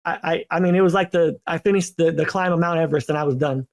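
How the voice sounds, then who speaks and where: High-voiced, rambling podcast host. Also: male voice, quick pace. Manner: animated, rambling, repeats words. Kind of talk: casual chat.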